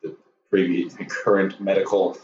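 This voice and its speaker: Low-voiced man, halting and roomy. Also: level tone, a crowd in the background. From Hong Kong. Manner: halting, many restarts, joking. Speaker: guest. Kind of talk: casual interview chat. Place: home room with a dog. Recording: roomy, distant, dull sound.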